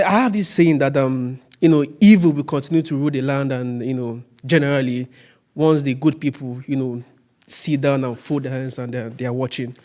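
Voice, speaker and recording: A man from northern Nigeria speaks at a seminar in an earnest, halting way, repeating words as he goes. His voice sits somewhat high. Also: darkish tone. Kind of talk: speaking in a seminar introduction round.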